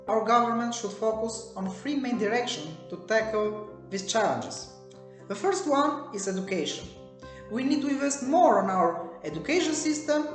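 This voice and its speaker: A young woman from Albania speaks English in a slightly high voice. She works through a prepared statement point by point at a steady pace. The room is echoey, with faint music behind her. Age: young adult. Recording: roomy echo with faint music.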